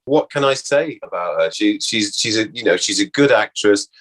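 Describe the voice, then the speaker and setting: A man, an actor being interviewed, talking casually and reflectively, haltingly repeating words as he thinks aloud. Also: lowish voice, animated, a bit bright.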